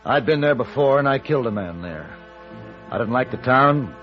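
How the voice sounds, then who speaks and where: An American actor playing a suave, self-assured hired gunfighter in an old-time radio Western, dry, urbane and terse. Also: male voice, medium pitch, expressive, moderate pace, faint music behind, natural voice. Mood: grim narrating. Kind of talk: old-time radio drama acting and first-person narration. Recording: dull, band-limited old radio recording.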